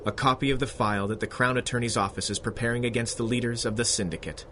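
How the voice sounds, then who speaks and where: A man playing an honest, awkward young policeman in a 1930s-style radio drama, halting, sincere and flustered. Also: mid-range voice, fairly even intonation, noisy background, close to an everyday voice. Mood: troubled, sincere. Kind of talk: 1930s radio adventure serial acting.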